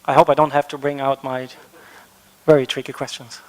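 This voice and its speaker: A man's voice: medium pitch, flat delivery, a little fast. A man with a German accent moderating a conference panel, friendly and lightly joking as he invites questions from the floor. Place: large conference hall. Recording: long hall reverb.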